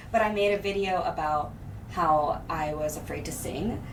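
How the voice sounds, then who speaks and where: A woman in her thirties talking in casual, expressive chat as a podcast guest. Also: fairly low pitch, unhurried. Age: 30s. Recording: distant mic, background noise.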